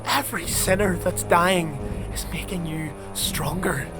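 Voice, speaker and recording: An actor playing a fanatical alchemist twisted by dark blood rites, preaching fervently in an unhinged manner in an audio drama. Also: male voice, sits high, a little slow. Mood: zealous, accusing. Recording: music behind.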